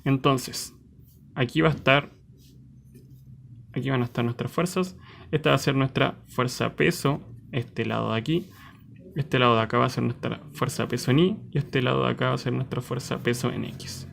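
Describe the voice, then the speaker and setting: A man's voice: medium pitch, creaky, a little hiss or hum. A man giving an informal step-by-step tutorial, often asking questions and answering them himself, with short check-in tags. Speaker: physics tutor presenting a lesson.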